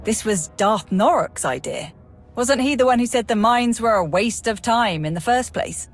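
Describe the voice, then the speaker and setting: A woman's voice: on the high side, animated, moderate pace. A woman playing a capable, plain-spoken army major in a video game, matter-of-fact and a little sardonic. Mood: wry. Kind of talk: video game cutscene acting. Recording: music behind the voice.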